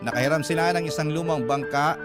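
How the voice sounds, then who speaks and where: A man's voice: fairly high pitch, quick pace. A man giving a scripted first-person narration of a confessional letter for a radio drama. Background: loud music.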